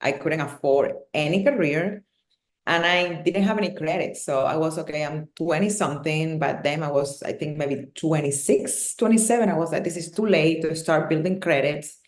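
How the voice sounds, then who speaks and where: A low-pitched woman in her thirties with a Cuban Spanish accent talks frankly as a podcast guest and laughs easily. Her voice rings in an echoey room. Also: normal speed. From Cuba. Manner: warm, candid, laughs often. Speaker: guest, hairstylist and educator. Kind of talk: casual podcast interview.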